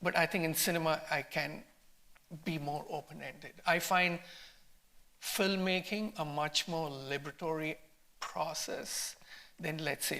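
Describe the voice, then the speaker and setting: A man's voice: a little high, vocal fry, bright, forceful tone. A man who is a film director and scholar, answering on a panel in a reflective, emphatic way, repeating phrases as he thinks.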